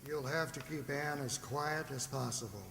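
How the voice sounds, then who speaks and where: Gravelly and creaky, a man plays a frontier doctor in an echoing hall. He is grave, blunt and regretful, acted as radio drama. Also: medium pitch, moderate pace, fairly bright tone, slight background noise. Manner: sombre, blunt. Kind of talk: radio play acting. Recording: reverberant hall-like room.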